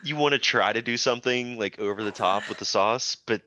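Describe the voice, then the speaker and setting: A man's voice: mid-range voice, a little fast, bright, forceful tone, a little hiss or hum. A man guest on a podcast, chatting casually, laughing often and joking at his own expense. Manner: laughs often, self-deprecating. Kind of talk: casual chat. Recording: dull, dry lo-fi audio.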